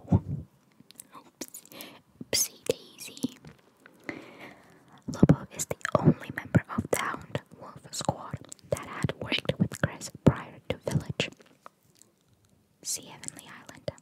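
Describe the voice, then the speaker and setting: A woman whispering as an ASMR host, reading out trivia in a breathy voice with casual, chatty asides. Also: poor audio. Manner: casual, chatty, playfully opinionated.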